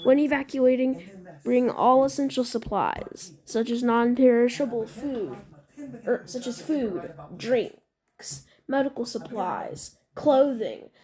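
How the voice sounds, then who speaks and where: A woman narrating a mock emergency alert scenario, halting and sometimes repeating or stumbling over her words. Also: fairly high pitch, expressive, mellow, low-quality recording. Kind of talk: scripted emergency announcement with ad-libbed reactions.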